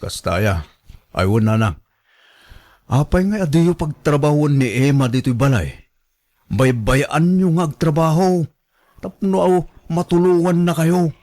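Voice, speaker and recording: A man's voice: a little high, expressive, a little slow, long pauses, a little mellow, no room sound, studio quality. A concerned grown son in a radio drama. He has an Ilocano accent and speaks in a questioning way, as a young man looking out for his mother. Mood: curious, concerned.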